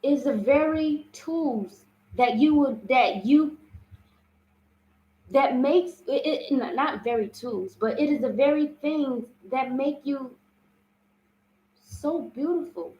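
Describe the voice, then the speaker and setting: A woman's voice: sits high, slow pace, echoey room, lo-fi sound. A woman hosting a live self-love talk, with a strong, big voice, speaking earnestly and often asking "you understand?". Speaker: spiritual self-love host. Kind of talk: live-streamed motivational talk.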